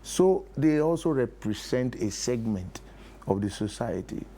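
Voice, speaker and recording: A Nigerian man speaking as an interview guest, explaining deliberately in short rhetorical phrases. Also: medium pitch, lively intonation, warm tone, slight background noise. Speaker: interview guest, theatre producer.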